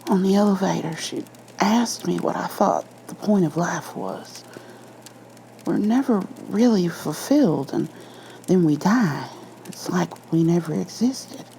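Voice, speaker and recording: A woman playing a nervous, shaken police officer who confesses haltingly and breathily in a horror story reading. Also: on the low side, animated, vocal fry, dark tone, a little hiss or hum, poor audio. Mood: unsettled, confiding.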